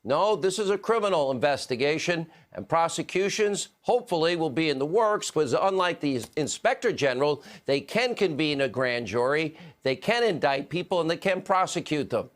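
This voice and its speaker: A man's voice: fairly high pitch, animated, normal speed, hardly any pauses. An American man delivering scripted broadcast news commentary in an emphatic, punchy manner.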